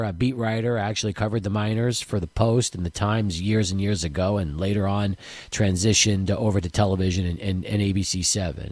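A man's voice: on the low side, a little mellow, no echo. A man hosting a sports radio show, talking in a loose, rambling way with many restarts and repeated words. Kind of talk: casual radio interview.

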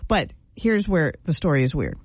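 A woman's voice: low voice, moderate pace, slightly rough, no echo. A woman in her fifties hosting morning radio, laughing easily and telling stories with a lot of animation. Her voice swoops up and down as she talks. It is recorded close and dry, with no room sound. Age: 50s. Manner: giggly, animated storyteller. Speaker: radio morning show co-host. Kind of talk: casual radio banter.

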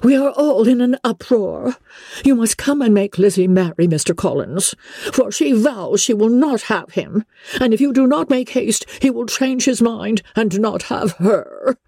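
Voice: medium pitch, very animated, measured pace, mellow, slightly rough, no room sound, studio quality, voice pushed up, exaggerated voice. A reader voicing a flustered, fretful middle-aged mother in an agitated, emphatic way, as in an audiobook. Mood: frantic, agitated.

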